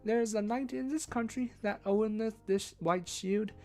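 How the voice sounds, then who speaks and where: A woman's voice: mid-range voice, normal speed, mellow, voice pushed up, lightly acted. A woman voicing an earnest, aggrieved medieval damsel with formal archaic speech in a storybook. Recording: faint music behind the voice.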